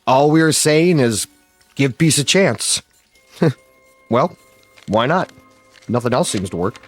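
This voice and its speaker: Skeptical Russian delegate. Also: male voice, medium pitch, lively intonation, slow pace, faint music behind. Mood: conceding. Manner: pointed, rhetorical. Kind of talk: radio drama acting, formal oratory.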